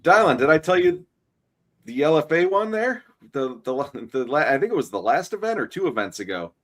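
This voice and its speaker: A man's voice: a little high, sing-song, a little fast. A man co-hosting a fight stream, telling a long story and swearing as he stumbles and restarts his words. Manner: rambling, profane, stumbling over words. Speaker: co-host. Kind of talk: casual chat and storytelling.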